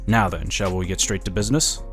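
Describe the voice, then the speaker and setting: An actor playing a slick, smug lobbyist in a sci-fi audio drama, chatty and condescending. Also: male voice, low-pitched, steady tone, brisk, fairly bright tone, faint music behind. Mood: smug, intrigued. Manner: chatty, smooth-talking, condescending. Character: smug, slick, scheming male political lobbyist.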